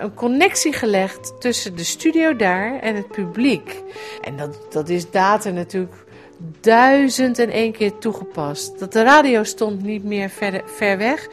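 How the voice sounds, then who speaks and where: A middle-aged woman with a fairly high voice looks back in a personal radio essay. She tells her memory in a wry, reflective way. Soft music plays faintly behind her. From Netherlands. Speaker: writer recounting a memory.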